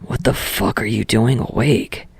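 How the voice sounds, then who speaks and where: A woman voicing an irritable, blunt teenage boy with a low gravelly rasp and a curt, dry manner in an audiobook story. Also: fairly even intonation, unhurried, darkish tone, dry recording, full character voice. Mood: irritated, dry.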